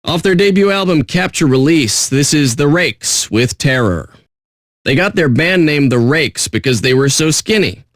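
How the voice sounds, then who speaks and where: An actor playing a surly, sarcastic radio DJ in a video game, with deadpan, contemptuous patter. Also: male voice, a little high, moderate pace, no echo, clean studio sound. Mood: deadpan, smug.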